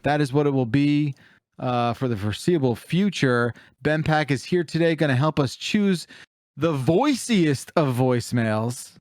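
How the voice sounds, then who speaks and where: A man's voice: on the high side, a little slow, a little mellow, no echo, clean studio sound. A man hosting a podcast, chatting casually and comedically with the easy looseness of someone telling anecdotes. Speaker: podcast host.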